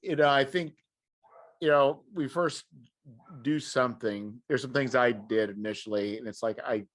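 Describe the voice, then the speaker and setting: A man with an average-pitched voice hosts a relaxed, informal podcast conversation. His delivery is halting, and he often repeats words and restarts phrases as he goes. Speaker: podcast host. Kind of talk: casual conversation.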